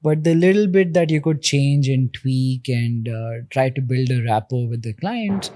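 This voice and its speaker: A man in his thirties with an Indian accent, a podcast guest explaining things in a measured way with frequent pauses. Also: mid-range voice, expressive, warm tone, no room sound, clean studio sound. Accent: Indian English. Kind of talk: podcast interview.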